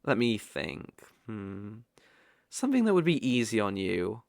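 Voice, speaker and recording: Male actor as British kitsune lover, possessive, coaxing, unhurried delivery, dry close sound, ASMR roleplay. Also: fairly low pitch, very animated, gravelly, no echo, full character voice. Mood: possessive, smug. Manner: coaxing, slow, with eager flustered outbursts. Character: possessive, sly, lovestruck male fox-spirit mage.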